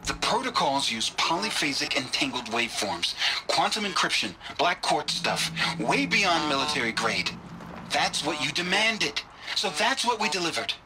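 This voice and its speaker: A man's voice: fairly high pitch, sing-song, moderate pace, background noise. A man voicing a defensive male engineer explaining himself, in a game. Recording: game audio with music.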